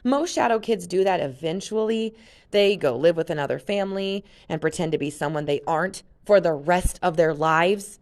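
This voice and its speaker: A woman voicing a fierce, defiant teenage girl from a read-aloud story, talking loud and insistent. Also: fairly low pitch, moderate pace, natural voice.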